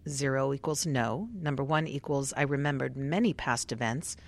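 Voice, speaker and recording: A woman playing a polite, methodical research doctor in a radio drama, speaking in a formal, measured way. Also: extremely low pitch, creaky. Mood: procedural.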